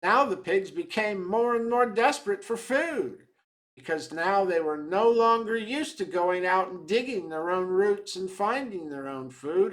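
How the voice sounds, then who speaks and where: A storyteller voicing a wily, matter-of-fact swamp trapper with deliberate, step-by-step delivery in a dramatic tale reading. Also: medium pitch.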